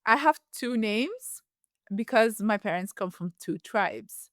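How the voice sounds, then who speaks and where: A woman's voice: medium pitch, animated, slow pace, a bit bright, dry recording, clean studio sound. A woman from Ethiopia who grew up in the Netherlands, speaking as a podcast guest in a reflective, casual way with frequent fillers and restarts. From Ethiopia, raised in the Netherlands. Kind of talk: casual interview conversation.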